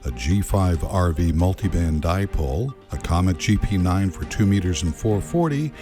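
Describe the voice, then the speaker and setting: A man narrates a promotional tour video in a fairly low voice. His intonation moves up and down freely, and music plays underneath his scripted delivery. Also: expressive, moderate pace. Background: background music.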